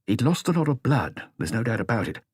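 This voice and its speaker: A man's voice: medium pitch, very animated, a little fast, breathy edge, no echo, studio quality, voice pushed up. A man voicing an upset, dutiful policeman in an audiobook, speaking hesitantly and deferentially to his superior. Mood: reporting. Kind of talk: audiobook character dialogue.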